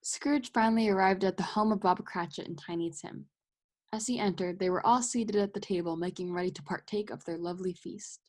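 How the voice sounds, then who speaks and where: A woman's voice: mid-range voice, fairly quick, a little mellow, natural voice. A woman giving scripted storybook narration as the narrator of a radio play.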